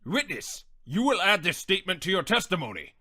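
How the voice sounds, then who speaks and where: A man's voice: sits high, big pitch swings, brisk, background noise. A man playing a solemn, easily baffled judge presiding in a courtroom game fandub. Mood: puzzled. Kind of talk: anime game fandub acting.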